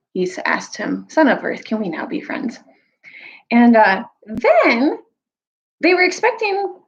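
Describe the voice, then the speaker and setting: A woman reading and retelling a story aloud in an expressive storytime style, like a teacher with students. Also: mid-range voice, highs cut off. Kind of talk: storytime read-aloud with recap.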